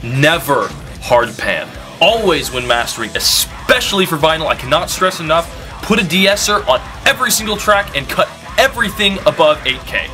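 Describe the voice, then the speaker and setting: A man's voice: fairly high pitch, sing-song, normal speed, sharp tone, soft background music. A young man playing a recording engineer, giving absurd mixing advice in a confident, matter-of-fact way. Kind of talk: deadpan parody advice.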